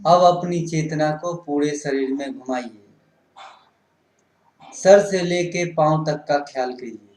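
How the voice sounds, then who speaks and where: Guy doing guided yoga relaxation, really slow short repeated phrases, echoey room. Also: a little high, darkish tone. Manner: instructing in short, repetitive phrases. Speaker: yoga instructor. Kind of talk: guided relaxation meditation instruction. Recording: roomy, echoing sound.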